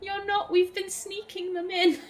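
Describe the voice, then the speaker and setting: A woman's voice: very high voice, little pitch movement, moderate pace. A young woman chatting through gameplay as a let's play host, giggling and stumbling over her words. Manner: chatty, giggly, self-interrupting. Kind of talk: casual gameplay commentary.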